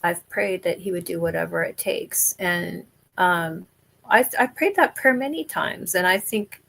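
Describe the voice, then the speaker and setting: Gravelly-voiced woman, candid and earnest. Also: on the low side, measured pace, a bit bright. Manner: candid and earnest, laughs easily. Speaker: podcast guest. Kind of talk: conversational interview.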